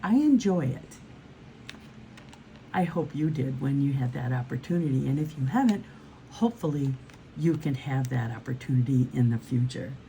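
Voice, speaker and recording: A woman's voice: extremely low pitch, animated, moderate pace, gravelly, warm tone, a little hiss or hum, low-quality recording. A woman reading a children's storybook aloud as host, encouraging and turning to her young listeners with questions.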